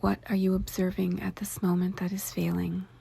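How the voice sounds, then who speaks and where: A woman narrating a guided meditation in a hushed, whispery, creaky voice, with deliberate short phrases and spacious pauses. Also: mid-range voice, little pitch movement.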